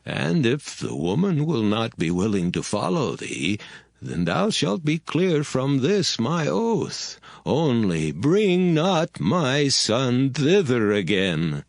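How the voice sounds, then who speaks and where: A man playing a solemn, aged Hebrew patriarch in a dramatized scripture reading, with gravelly, slow and weighty delivery. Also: medium pitch, wildly swooping pitch, no room sound, studio quality, heavily acted. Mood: grave, commanding.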